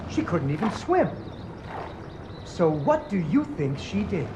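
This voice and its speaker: An actor playing a playful father in a video game, telling his daughter a fairy tale in an expressive storyteller's delivery. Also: high voice, slow pace, noisy background, exaggerated voice. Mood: playful storytelling. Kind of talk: animated bedtime-story narration in a video game. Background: guitar music.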